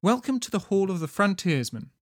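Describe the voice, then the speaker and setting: A man's voice: high voice, sing-song, fairly quick, no room sound, studio quality, pitched above the performer's own voice. A man voicing a shy, polite female tiefling librarian in a fantasy story, hesitant and timid.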